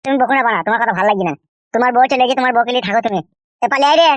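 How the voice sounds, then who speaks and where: Man as defiant young cartoon nephew, high-pitched, very fast, crude. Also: fairly bright tone, no room sound, muffled recording. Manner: argumentative, crude, insistent. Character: defiant, whiny young nephew with runaway wife. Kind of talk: comic cartoon dialogue.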